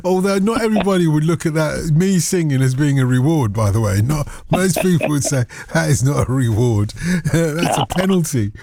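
A man's voice: on the high side, animated, moderate pace, vocal fry, a little mellow, no echo. A man hosting a late-night radio music show, chatting casually with a caller in a playful, wordy, teasing way.

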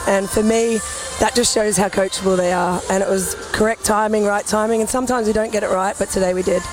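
A woman's voice: mid-range voice, fairly even intonation, fairly quick, music in the background, rough recording. A woman, a netball head coach who is losing her voice, answering post-match interview questions.

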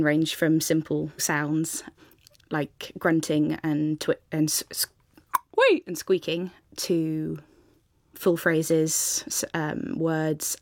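A woman's voice: sits low, vocal fry, no echo, studio quality. A young woman answering interview questions in a reflective way, with hedging fillers. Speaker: guest.

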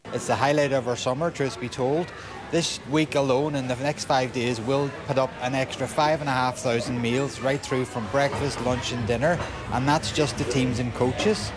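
A man's voice: mid-range voice, steady tone, moderate pace. A man, a business operations manager, talking upbeat through details in a news interview.

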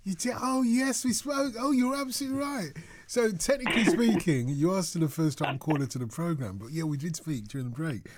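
A man's voice: high voice, a little fast, background noise. A man hosting a late-night radio phone-in, chatty and joking with callers. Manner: jokey, chatty, chuckles often. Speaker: radio host. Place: radio studio.

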